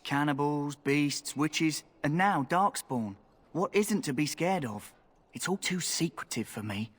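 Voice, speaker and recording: A man's voice: a little high, animated, measured pace. A man playing a cheeky, flirtatious rogue recruit in a fantasy video game, talking in a wry, colloquial way. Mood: roguish, wry. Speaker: game character. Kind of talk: scripted fantasy game acting.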